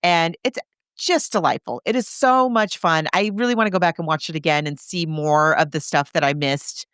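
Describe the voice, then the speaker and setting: A woman with a fairly low, clear voice chats as a podcast co-host. She retells a film casually, like someone telling a story to a friend. The recording is close and dry. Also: moderate pace, a bit bright, dry recording. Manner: conversational storytelling, acting out characters' reactions. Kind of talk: casual film recap chat.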